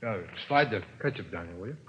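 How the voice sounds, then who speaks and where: A man's voice: on the low side, animated, quick pace, natural voice. An actor in an old radio crime drama playing a sleazy, chummy peddler with slangy, wheedling salesman patter. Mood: casual, familiar. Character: sleazy, chummy male peddler of illicit picture books. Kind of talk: 1950s radio drama acting. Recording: dull, very noisy old radio broadcast.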